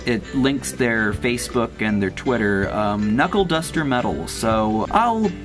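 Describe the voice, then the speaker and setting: A man's voice: mid-range voice, lively intonation, a little slow. Guy in his 20s doing a casual unboxing vlog, chatty n opinionated, music playing behind him. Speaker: YouTube host.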